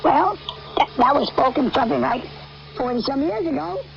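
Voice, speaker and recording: An older American woman giving a rambling end-times sermon on shortwave radio, halting, repetitive and emphatic. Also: high-pitched, lively intonation, gravelly, a crowd in the background. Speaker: radio preacher. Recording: noisy, muffled shortwave radio capture.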